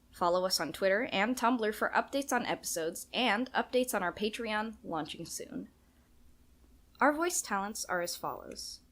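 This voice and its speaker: A woman's voice: mid-range voice, fairly bright tone. A woman who creates and edits a podcast, reading scripted closing credits to listeners.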